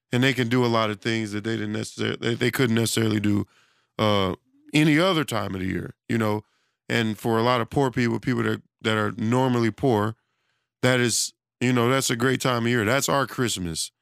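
Gravelly-voiced man losing his voice. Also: mid-range voice, fairly bright tone, no echo, clean studio sound. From United States. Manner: loose, rambling, conversational, with many restarts and fillers. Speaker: self-employed studio owner. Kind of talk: casual monologue.

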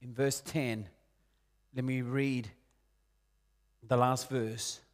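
A man in his late forties from Peru, preaching a sermon in an earnest way, rising loud on key points and dropping soft and low. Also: flat delivery, drawn-out words. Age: 40s. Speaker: pastor.

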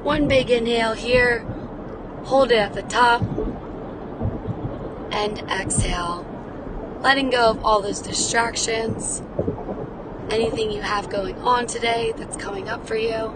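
An upbeat woman, her voice slightly raspy from days of cheering, talks in a warm, encouraging way as a wellness podcast host. She records from inside a moving car. Road noise hums clearly underneath her voice. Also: on the high side, fairly even intonation, measured pace, long pauses. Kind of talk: wellness podcast, guided breathing.

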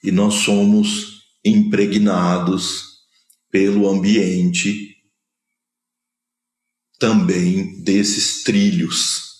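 A man from Minas Gerais, Brazil, speaking with a Minas Gerais accent, gives a slow, measured spiritual lecture, pausing between short phrases. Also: deep voice, level tone, a little mellow, roomy sound. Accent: Brazilian Portuguese, Minas Gerais. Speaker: spiritual teacher. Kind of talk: spoken lecture on scripture.

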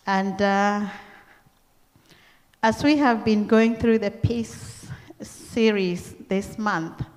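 A middle-aged woman with a Kenyan accent, preaching a church sermon in a slow, deliberate, earnest way. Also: medium pitch, a little mellow. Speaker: guest preacher. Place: large church hall. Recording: long church-hall reverb.

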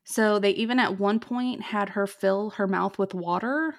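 A woman hosting a podcast, telling a true ghost story in a chatty way, with many "like"s and "um"s. She has a fairly deep voice. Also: a little slow. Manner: chatty, lots of "like" and "um". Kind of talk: casual storytelling chat.

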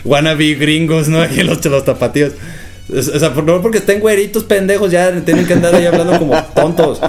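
A young Mexican man with a Guadalajara accent talks in a rapid, slang-heavy way with plenty of swearing. His voice sits a bit on the high side. Faint music plays behind him. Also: steady tone. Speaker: podcast co-host. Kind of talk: casual podcast chat.

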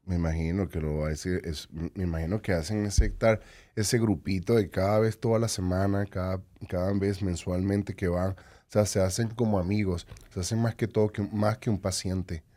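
A man hosting an interview, asking questions in a halting way and often restarting his sentences. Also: deep voice, steady tone, mellow, no echo. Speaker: podcast host.

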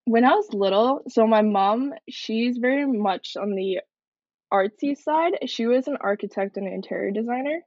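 A woman's voice: a little high, moderate pace, darkish tone, no room sound, highs cut off. A young woman from Canada, a military cadet, answering interview questions in an earnest, reflective way. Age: young adult.

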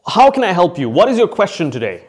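A man's voice: high-pitched, very animated, quick pace. A man hosting a podcast, explaining like an expert trainer in a conversational, emphatic way and repeating key phrases for stress. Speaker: podcast host and functional safety consultant and trainer.

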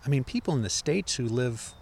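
A man's voice: mid-range voice, animated, brisk, gravelly, darkish tone, slight background noise. A man, hosting an interview and asking eager, stumbling questions. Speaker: podcast host. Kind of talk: conversational interview.

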